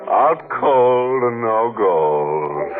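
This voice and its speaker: An actor playing a ghoulish horror host in 1940s radio, mocking and punning in a theatrical, tongue-in-cheek way. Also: male voice, on the low side, lively intonation, drawn-out words, narrow, tinny audio, music in the background. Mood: gleefully macabre. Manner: macabre, punning, theatrical, chuckling. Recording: noisy, band-limited 1940s radio recording.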